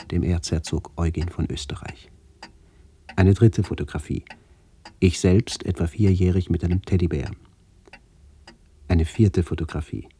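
Radio play: actor as melancholy man looking back, very low measured voice, noticeable noise behind. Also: dark tone, close to an everyday voice. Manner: measured, elegiac, reflective. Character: melancholy grown man remembering his family and lost village. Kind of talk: literary radio play narration.

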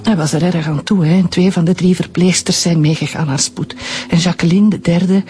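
An actress with a Flemish accent playing a middle-aged anesthetist and widow who speaks softly and hesitantly. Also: female voice, fairly low pitch, steady tone, fairly quick, soft background music, natural voice. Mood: sorrowful. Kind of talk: radio drama acting.

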